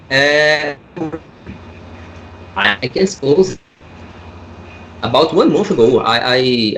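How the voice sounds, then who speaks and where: Slow, halting Brazilian-accented man. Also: mid-range voice, slight background noise, low-quality recording. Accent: Brazilian Portuguese. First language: Portuguese. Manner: halting, searching for words, laughs often. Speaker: comic artist guest. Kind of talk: casual interview over a call.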